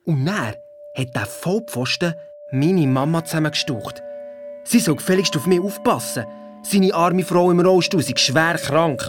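A performer playing a cheeky, excitable schoolboy detective in a children's audio drama, telling things in slangy Swiss German-accented speech. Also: medium pitch, a little slow, over music, close to an everyday voice. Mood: excited, indignant. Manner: slangy, teasing.

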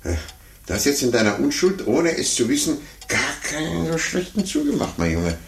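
An actor playing a fussy, condescending older gentleman in a 1950s radio play, muttering to himself and talking down patronizingly. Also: a little high, big pitch swings, normal speed, creaky. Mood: flustered, pompous. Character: condescending, fussy, self-important older gentleman chess amateur. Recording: roomy, noisy 1950s radio recording.

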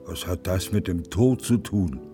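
Gruff old mountain man in a radio play, deep n slow, proud, grieving, won't budge. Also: over music. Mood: stubborn, curt. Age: older. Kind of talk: radio drama acting.

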